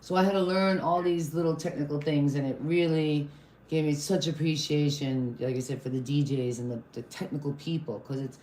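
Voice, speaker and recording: A woman's voice: deep voice, steady tone, brisk, warm tone, roomy sound, low-quality recording. A woman hosting a casual live-stream interview, chatty and rambling, apologizing and laughing often. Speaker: host. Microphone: live-stream video call.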